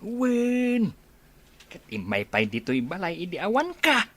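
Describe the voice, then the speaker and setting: A woman's voice: fairly low pitch, big pitch swings, a little slow, slightly rough, no echo, exaggerated voice. A woman playing an elderly village father, curious and agreeable, in a radio comedy drama. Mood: placating.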